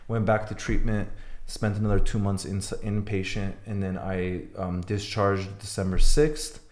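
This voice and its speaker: A man's voice: fairly low pitch, flat delivery, unhurried, a little mellow, echoey room. A young man, born in Iran, telling his story as a podcast guest, blunt and swearing freely. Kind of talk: podcast interview.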